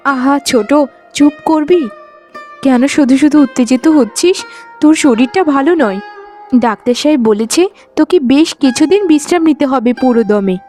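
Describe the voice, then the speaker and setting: A woman voicing a gentle, protective eldest co-wife in a cartoon family story. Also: sits high, animated, normal speed, faint music behind. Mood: gently chiding. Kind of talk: cartoon voice acting.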